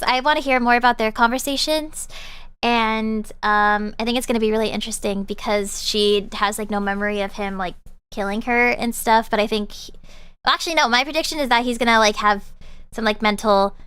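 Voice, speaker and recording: Bubbly high-voiced young woman streamer. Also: bright, forceful tone, clean tone, dry recording. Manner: bubbly, rambling with many fillers. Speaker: VTuber streamer. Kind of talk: casual live-stream chat.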